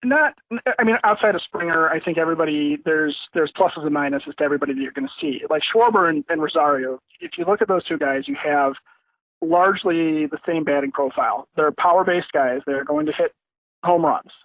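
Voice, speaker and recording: Male sports guest, phone-quality and dry, higher-pitched, talks through analysis in a loose, rambling way. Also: brisk, no room sound. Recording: thin, dry phone-like line.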